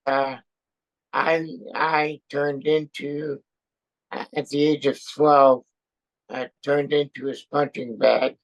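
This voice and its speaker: An older man in his seventies with a gravelly, slightly high voice. He talks very slowly and haltingly, pausing often and filling gaps as he tells his story in a relaxed chat. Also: low-quality recording. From born in Austria, raised in Brooklyn and France. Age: 70s. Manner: halting, hesitant, many pauses and fillers. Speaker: interview guest, Air Force veteran. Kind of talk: casual chat.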